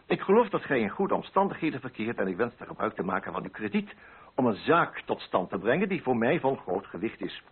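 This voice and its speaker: A man reads as an earnest, needy male poet in a radio drama. The delivery is formal and pleading, with lively intonation. The voice is a little rough, and the recording is dull and narrow. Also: mid-range voice. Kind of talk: letter read aloud in radio drama. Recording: muffled, band-limited radio drama recording.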